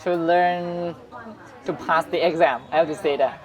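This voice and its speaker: A young man with a Thai accent, a Buddhist monk, talking haltingly in an interview as he searches for words. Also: fairly high pitch, fairly even intonation, lo-fi sound. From Shan State, Myanmar, raised in Thailand. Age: young adult. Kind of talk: casual interview conversation. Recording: busy crowd noise around. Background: crowd chatter.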